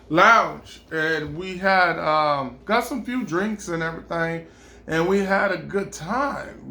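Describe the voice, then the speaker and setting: A man's voice: fairly high pitch, vocal fry, pushed and bright. A man hosting his own vlog, telling a personal story in a casual, rambling way, full of ums and you-knows.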